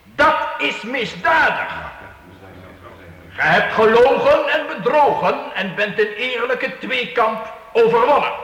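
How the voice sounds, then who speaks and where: A man's voice: extremely high pitch, sharp tone, exaggerated voice. A man playing a stern medieval count in a radio play, solemn, formal and authoritative in manner. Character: stern, commanding medieval count passing judgment. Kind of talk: Dutch radio play acting. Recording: reverberant hall, old radio play.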